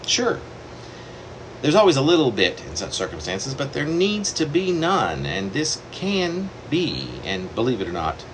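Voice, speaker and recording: A man reading his own long poem aloud in a wandering, self-correcting way, with sudden leaps in pitch. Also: wildly swooping pitch, measured pace, noisy background. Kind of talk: poetry reading.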